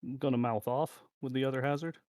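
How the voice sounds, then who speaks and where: A man's voice: medium pitch, fairly even intonation, quick pace, a little mellow, smooth, dry recording, clean studio sound. A middle-aged man chatting casually as he plays a card game, talking through his moves and muttering to himself and the cards.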